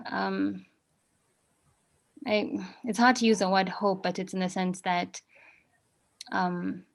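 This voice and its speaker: Gravelly-voiced woman, flat and measured. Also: mid-range voice. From Kenya. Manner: measured, pausing often with ums. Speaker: guest artist. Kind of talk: reflective interview answers.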